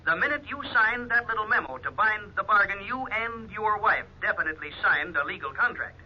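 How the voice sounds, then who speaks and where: An actor playing a pushy, falsely sincere real estate salesman in a 1950s radio drama, with smooth patter and feigned hesitation. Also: sits high, expressive, fairly quick. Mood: smug, firm. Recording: muffled, noisy 1950s radio recording.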